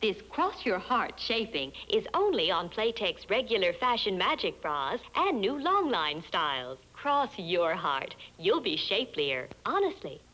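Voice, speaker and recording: A woman delivers a scripted sales pitch for a vintage sixties television commercial. Her middle-pitched voice moves up and down with great liveliness. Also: very animated, unhurried, hardly any pauses, bright, forceful tone, low-quality recording. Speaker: commercial presenter. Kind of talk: 1960s TV advertisement pitch.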